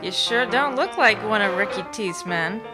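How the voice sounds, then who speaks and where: A woman's voice: mid-range voice, animated, moderate pace, natural voice, poor audio. A woman playing a nosy, familiar hostess at a seedy club in a noir radio drama. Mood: nosy, breezy. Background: music.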